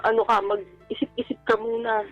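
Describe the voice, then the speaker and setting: A woman's voice: fairly high pitch, little pitch movement, normal speed. A woman with a Filipino accent calling a radio show, politely sharing a personal account in a conversational way. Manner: polite, deferential. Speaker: caller seeking advice on a radio show. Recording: dull lo-fi call audio with music. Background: music.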